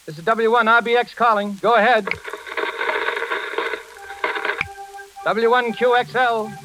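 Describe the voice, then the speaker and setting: Brisk, high-voiced radio engineer. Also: male voice, fairly even intonation. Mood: anxious, urgent. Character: brisk, slangy male engineer, concerned for his friend. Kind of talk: 1940s radio acting. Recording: noisy, crackling vintage radio recording.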